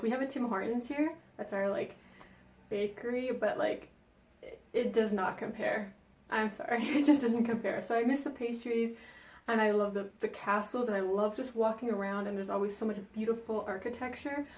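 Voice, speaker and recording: A woman's voice: a little high, darkish tone, roomy sound. A young woman who grew up speaking Danish, answering viewer questions in a chatty vlog, with frequent ums and likes. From Denmark. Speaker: vlogger. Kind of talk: casual Q&A vlog.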